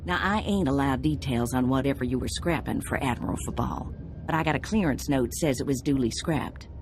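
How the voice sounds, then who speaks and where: An actress playing a folksy, brisk female bounty broker in a video game. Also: extremely low pitch, background noise.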